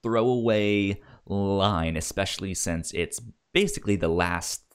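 A man with a low-pitched voice talks slowly in a casual film chat. He stammers often, doubling back on words and syllables as he works through his thoughts. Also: smooth. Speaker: film podcast co-host.

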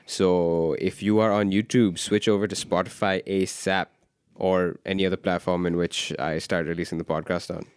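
A young man hosting a podcast, relaxed and joking in casual conversation. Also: sits low, moderate pace, few pauses, clean tone, no echo, studio quality. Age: young adult. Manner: relaxed, joking, brief backchannels. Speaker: podcast host.

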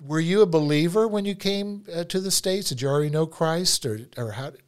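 A man's voice: a little high, brisk, clean tone. A middle-aged man hosting an interview podcast from an office, upbeat and jokey. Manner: enthusiastic, jokey. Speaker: podcast host.

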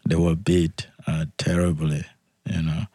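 A man telling his life story as an interview guest, talking in a halting, soft-spoken way with frequent restarts. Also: very deep voice, little pitch movement, dry recording. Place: podcast studio.

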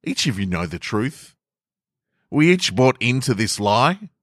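A man's voice: medium pitch, big pitch swings, no room sound, studio quality, voice pushed up. A man reading a story monologue as an aggrieved, uncomfortable office employee making an earnest, defiant stand. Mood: impassioned, rallying. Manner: deliberate, emphatic, earnest.

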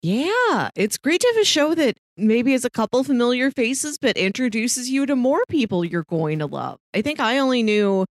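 A woman's voice: fairly high pitch, sing-song, normal speed, hardly any pauses, no room sound, studio quality. A woman co-hosting a podcast, talking casually in a conversational review and recap style. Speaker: podcast co-host.

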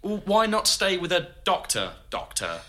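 An inquisitive interviewer in an audio drama, a little awkward and hesitant. His voice is bright and very animated in pitch, as he puts curious questions to his guests. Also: normal speed, heavily acted. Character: curious, slightly awkward male oral history interviewer.

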